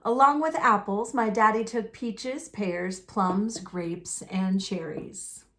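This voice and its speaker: A woman reading a children's picture book aloud in an animated, folksy storytelling manner. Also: mid-range voice, slow. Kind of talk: expressive read-aloud narration of a children's book.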